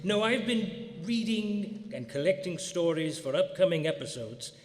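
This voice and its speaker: A man's voice: sits high, a little slow. A man playing an urbane, macabre angel of death who hosts a radio horror show, courteous, formal and droll. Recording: live theater, long hall reverb.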